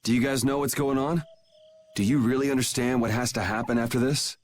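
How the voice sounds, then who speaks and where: A man's voice: mid-range voice, fairly even intonation, brisk, a little hiss or hum, natural voice. A young man voicing a carefree, cocky swordsman in a video game, with a wry, blunt, easygoing delivery. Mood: earnest.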